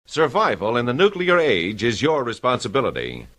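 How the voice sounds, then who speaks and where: A man's voice: mid-range voice, wildly swooping pitch, moderate pace, a bit bright. A man delivering a mid-century civil defense announcement in a grave, declamatory manner.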